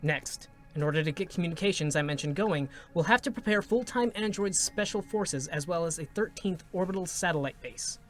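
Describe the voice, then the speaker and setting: Worried scientist w secrets, stammers a bit, highish male voice, game drama, music behind. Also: lively intonation. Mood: troubled planning. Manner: hesitant, stammering, halting. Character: anxious, secretive male scientist burdened by a grand plan. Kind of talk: dramatic monologue at a live reading of a game story.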